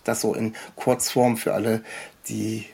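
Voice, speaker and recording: Husky middle-aged man, hesitant interviewer. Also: fairly low pitch, little pitch movement, a little slow, warm tone. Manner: hesitant, rambling. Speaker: interviewer, show host. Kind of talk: casual, unscripted interview chat.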